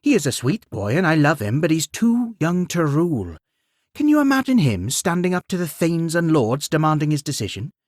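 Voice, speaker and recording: A man voicing a grieving, shrewd and kindly widowed queen, speaking with gentle but firm persuasion. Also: on the high side, wildly swooping pitch, moderate pace, dry recording, studio quality, voice pushed up. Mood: persuasive.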